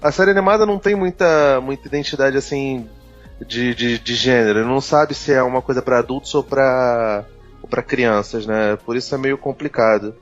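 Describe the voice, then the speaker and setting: A man with a Brazilian Portuguese accent talking casually as a podcast panelist, halting and repeating words as he goes. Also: mid-range voice. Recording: dull lo-fi sound, music behind.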